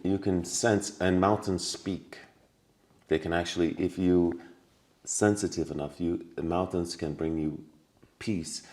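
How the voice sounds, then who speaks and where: A man's voice: low voice, warm tone. A man talking as a podcast guest, telling stories earnestly with many restarts and repetitions. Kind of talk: casual conversation.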